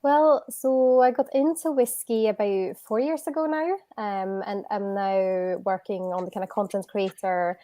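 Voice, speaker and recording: A woman's voice: mid-range voice, steady tone, unhurried, hardly any pauses, dark tone, no echo. A woman from Sweden who writes about whisky, speaking on a video call as an enthusiastic, friendly guest. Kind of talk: interview answers. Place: home in Edinburgh, on a video call.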